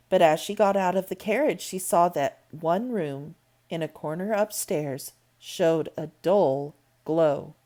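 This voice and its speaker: A woman reading a classic novel aloud, slow and expressive. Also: mid-range voice. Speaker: storybook reader.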